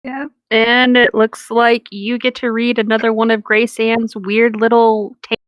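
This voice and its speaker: Higher-voiced woman, casual and joking. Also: flat delivery, a little slow, no room sound, highs cut off. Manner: informal, joking, jokey quoting. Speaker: co-host of a fan fiction reading show. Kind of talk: casual banter and commentary. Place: dry, close setup on a remote voice call.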